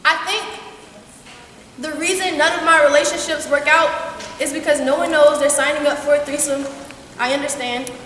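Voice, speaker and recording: Young female student poet, dramatic spoken-word, very high-pitched, distant in a very echoey hall. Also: steady tone, moderate pace, long pauses, sharp tone. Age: young adult. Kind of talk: slam poetry recitation. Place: large school assembly hall. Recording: echoey hall from far off, faint noise.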